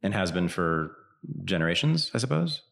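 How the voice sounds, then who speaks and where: A man hosting a podcast interview, curious, with quick backchannel reactions and wry, joking asides. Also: deep voice, big pitch swings, creaky, fairly bright tone. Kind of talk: casual interview conversation.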